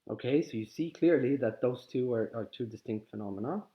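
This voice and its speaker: A man with a Swedish accent, whose first language is Swedish, calmly guiding listeners through a contemplative teaching, often pausing and restarting his sentences. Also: medium pitch. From Sweden. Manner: calm, halting, with frequent restarts. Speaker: spiritual teacher. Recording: slightly dull lo-fi audio.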